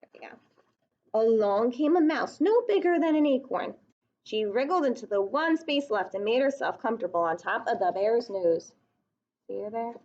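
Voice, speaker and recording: Higher-voiced woman reading aloud to young children. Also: normal speed, muffled recording. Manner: child-directed, expressive, asking the listeners questions. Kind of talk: picture-book read-aloud with chatty asides to children.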